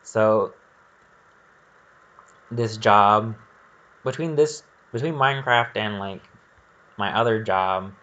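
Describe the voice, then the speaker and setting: A man's voice: lowish voice, takes it slowly, long pauses, bright, forceful tone. A man giving casual, rambling gameplay commentary, thinking aloud in a candid and hesitant way. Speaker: gaming video creator.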